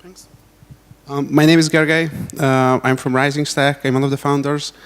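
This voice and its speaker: A man's voice: medium pitch, level tone, normal speed, clean tone. A man with a Hungarian accent introducing himself informally as a panelist. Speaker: panelist, company founder. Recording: reverberant conference hall.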